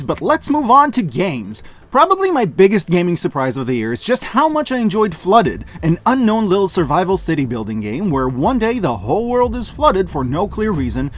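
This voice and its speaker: A man hosting a scripted review in a casual, joking, wry way, with expressive, emphatic delivery. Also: high-pitched. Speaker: host of a year-end media review show. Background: music behind the voice.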